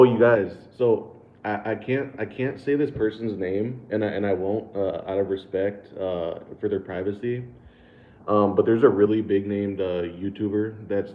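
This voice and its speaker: A man's voice: fairly low pitch, echoey room, phone-quality sound, a little hiss or hum. An American man hosting a casual livestream, reading super chats aloud, laid-back, laughing often and stumbling over repeated words and fillers. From United States. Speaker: livestream host.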